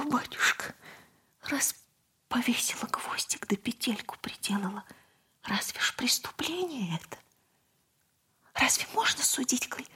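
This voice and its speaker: An actress playing a meek, tormented young orphan girl in an audio drama, plaintive and naive in a breathy whisper. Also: sits high, pushed and bright, heavily acted. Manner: naive, plaintive folk speech.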